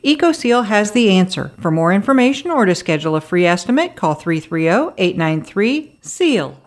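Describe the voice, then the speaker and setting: A woman reading a scripted radio advertisement as an announcer. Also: mid-range voice. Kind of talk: scripted ad narration.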